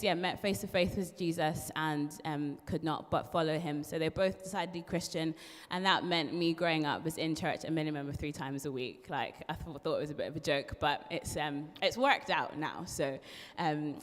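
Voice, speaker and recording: A young woman with a mixed Irish accent, a part-qualified barrister, chatting as an interview guest with frequent ums and laughs. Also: sits low. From born in London, raised in Ireland. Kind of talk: casual interview chat. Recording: long hall reverb.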